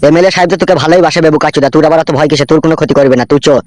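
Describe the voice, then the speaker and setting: A man's voice: a little high, steady tone, very fast, a little mellow, dry recording, natural voice. A man voicing a bullying, foul-mouthed henchman in a comic cartoon. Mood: reassuring. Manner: foul-mouthed, warning, coarse. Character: gruff-talking, bullying male henchman of a local politician.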